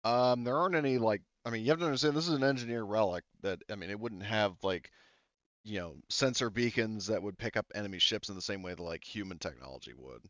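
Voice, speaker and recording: A man's voice: fairly low pitch, fast, a bit bright, no echo. A man running a tabletop game as game master, explaining rules in a rambling way with many fillers and restarts. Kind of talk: casual tabletop game narration. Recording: dull lo-fi audio.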